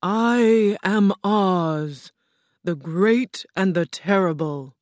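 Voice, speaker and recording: A woman voicing a giant, stern male wizard head from a storybook, with slow, loud, imposing pronouncements. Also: medium pitch, no room sound, clean studio sound. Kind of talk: storybook character voice.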